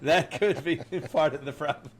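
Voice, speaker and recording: A man co-hosting a podcast, his voice sitting a little high and sounding nasal and stuffed-up. He talks casually and chattily, laughing along easily with his partner. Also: normal speed. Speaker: podcast co-host.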